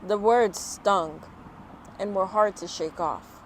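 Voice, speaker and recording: A woman reading a children's picture book aloud in an expressive, unhurried way, with informal chatty asides. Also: medium pitch, slight background noise.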